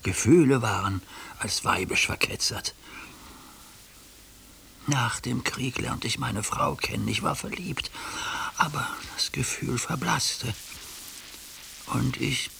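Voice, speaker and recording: An actor in a German radio play playing an anguished ageing judge who speaks for his neglected heart in a halting, hushed confession. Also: mid-range voice, vocal fry, full character voice. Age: older. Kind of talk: German radio play acting, intimate monologue. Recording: hissy radio play recording.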